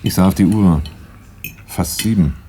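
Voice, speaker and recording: Weary older brother, radio drama, terse delivery, dark low voice, faint noise behind. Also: male voice, a little slow. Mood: grim, reflective. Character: weary, resolute man, older brother of an ex-convict, narrating. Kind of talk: 1980s German radio drama, first-person narration and dialogue.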